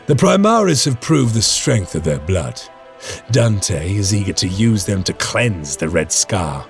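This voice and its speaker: An actor playing a stern veteran space marine lieutenant in a game cutscene, grave and solemn. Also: male voice, on the low side, very animated, unhurried. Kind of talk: video game cutscene acting. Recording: game audio over music.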